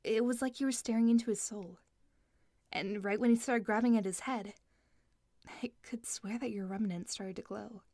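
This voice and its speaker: A woman's voice: on the high side, fairly even intonation, normal speed, mellow, no room sound, studio quality. A woman playing a curious, observant young female mercenary of a castle guard in an audio drama.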